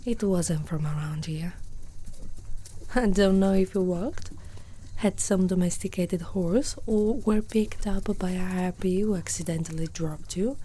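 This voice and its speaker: A gentle, curious centaur girl, acted by a woman in an ASMR roleplay. Her voice is mellow and soft, often slipping close to a whisper. She talks tenderly and without hurry to a single listener, with a protective air. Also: lowish voice, slight background noise, natural voice. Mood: matter-of-fact, protective. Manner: tender, unhurried, speaking closely to one listener.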